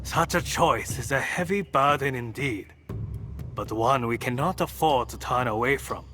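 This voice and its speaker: A man playing a thoughtful, principled martial arts master in a video game, measured and formal. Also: mid-range voice, pushed and bright. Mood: grave, instructive. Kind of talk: dramatic game cutscene acting. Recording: faint music behind the voice.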